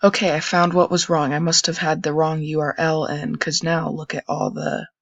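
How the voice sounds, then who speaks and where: A young man with a raspy voice, casually commenting and thinking aloud while browsing old web pages on a screen recording. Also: fairly high pitch, level tone, moderate pace, fairly bright tone, dry recording. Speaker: channel host. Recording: dull lo-fi dry recording.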